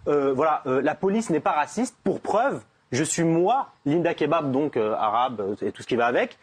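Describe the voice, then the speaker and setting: A man's voice: on the high side, big pitch swings, normal speed, breathy edge. A man in a political discussion, arguing his point with stammering restarts.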